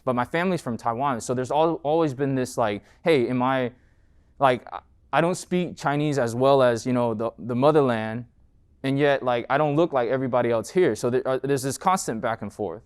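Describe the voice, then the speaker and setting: A man's voice: mid-range voice, a little fast, smooth. An American man giving an informal guest lecture as an entrepreneur, conversational and often checking in with "right?". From United States. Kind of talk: informal talk and Q&A.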